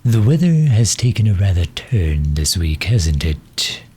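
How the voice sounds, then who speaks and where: Guy doing a courteous erudite villain for asmr roleplay, really slow n raspy, super proper wording. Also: low voice, sing-song, mellow, exaggerated voice. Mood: gentle, curious. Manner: formal, precise, unhurried, politely condescending. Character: genteel, erudite male villain, courteous and misunderstood.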